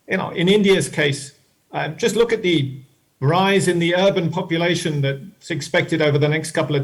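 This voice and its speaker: Higher-pitched man, measured and explanatory. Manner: measured, explanatory, rephrases as he goes. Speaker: expert panelist. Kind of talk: analytical panel answer.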